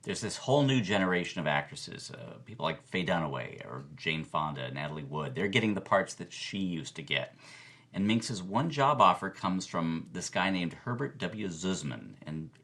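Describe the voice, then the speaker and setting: A man's voice: low-pitched, lively intonation, normal speed, fairly bright tone. A man playing a gossipy film-history expert, telling scandalous stories theatrically as a podcast guest and answering his own questions. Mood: explanatory. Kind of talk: animated storytelling in a podcast interview.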